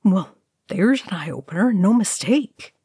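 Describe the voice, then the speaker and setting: A woman's voice: mid-range voice, expressive, unhurried, mellow, slightly rough, dry recording, clean studio sound. A woman voicing a wide-eyed, plain-spoken male hobbit in a storybook, with a rustic, wondering manner. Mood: awed.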